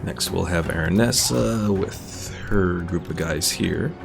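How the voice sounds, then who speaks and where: A man gives casual, laid-back gameplay commentary, thinking aloud as he goes. His voice is low with plenty of fry, over faint game music. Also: slow. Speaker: game streamer.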